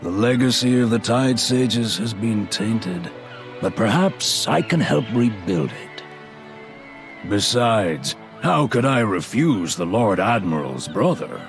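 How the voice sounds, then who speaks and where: An actor playing a grave, dignified sage of the seas in a video game cutscene. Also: male voice, on the low side, very animated, slow pace, heavily acted. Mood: measured. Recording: music behind the voice.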